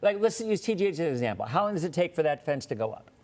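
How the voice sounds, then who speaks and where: Host: talkative man with a slight lilt, fairly high voice, easygoing live-TV patter. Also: sing-song, very fast, a little mellow. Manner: chatty, loose, greets viewers by name. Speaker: weather show host. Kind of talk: casual live TV interview chat.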